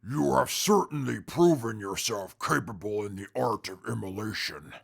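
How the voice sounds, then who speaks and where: A man playing a stern, guarded wasteland character in an audio drama, speaking formally and deadpan. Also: fairly low pitch, moderate pace, creaky, breathy edge, exaggerated voice. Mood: grudging respect.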